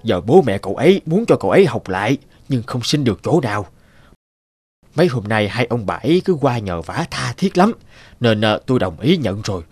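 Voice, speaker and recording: An actor playing a worried, hesitant middle-aged male school staffer in an animated drama. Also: mid-range voice, a little fast, breathy edge, faint music behind. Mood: explaining, pleading.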